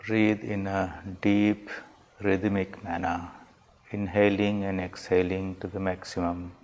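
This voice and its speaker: A man with a low voice guides a meditation as a swami. His delivery is very slow and even, with little rise or fall in pitch. He phrases each instruction calmly and deliberately. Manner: calm, measured, deliberate phrasing.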